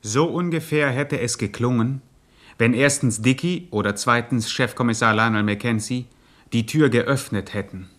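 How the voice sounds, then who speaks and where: An actor playing a precise, mock-serious chronicler, narrating a satirical radio crime play like a documentary. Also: male voice, mid-range voice, normal speed, a bit bright, slight background noise, lightly acted.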